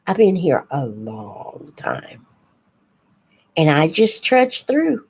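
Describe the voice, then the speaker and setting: An older woman chatting casually as a live host for young viewers, in an unhurried, reflective, folksy manner. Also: low voice, very animated, a little mellow, slightly rough. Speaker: host of a children's book read-aloud channel.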